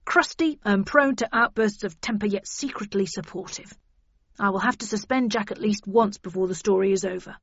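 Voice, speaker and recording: A woman's voice: mid-range voice, normal speed, breathy edge, no room sound, highs cut off. A woman voicing a large, jovial male detective chief inspector in an audiobook, hearty and anxious for approval.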